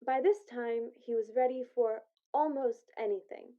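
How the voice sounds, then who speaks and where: A woman's voice: fairly high pitch, normal speed, natural voice. A woman playing the narrator of a radio play, telling the tale in a confiding way straight to the listener. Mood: wry, confiding. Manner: confiding, addresses the listener directly. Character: storyteller narrating a Christmas ghost tale. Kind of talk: scripted storytelling narration. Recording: thin, phone-like audio.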